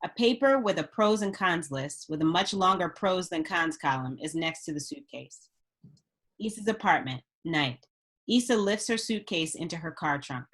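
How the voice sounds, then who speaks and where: Female narrator, fairly low pitch, reading screenplay stage directions. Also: animated, normal speed, a bit bright, husky. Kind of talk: scripted narration.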